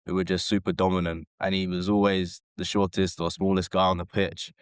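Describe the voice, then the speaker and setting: A man, a former rugby sevens player, talking casually as a podcast guest in a relaxed, rambling way with lots of fillers. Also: low-pitched, brisk, smooth, no room sound, studio quality. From England.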